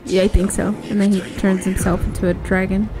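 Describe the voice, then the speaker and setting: A woman's voice: medium pitch, flat delivery, a little fast, music in the background. A young woman chatting casually while she plays a video game, exclaiming and grumbling playfully. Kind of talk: casual chat while gaming.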